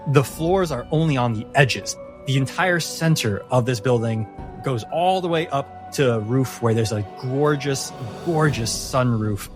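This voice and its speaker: Male game master, medium pitch, descriptive and dramatic storytelling, clear music bed. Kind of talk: descriptive tabletop game narration. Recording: music playing under the voice.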